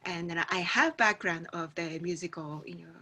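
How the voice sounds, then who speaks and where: Japanese lady, breathy kinda husky voice, lowish, slowly searching for her english words. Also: pushed and bright. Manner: halting, searching for words in a second language. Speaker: guest musician. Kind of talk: reflective personal storytelling.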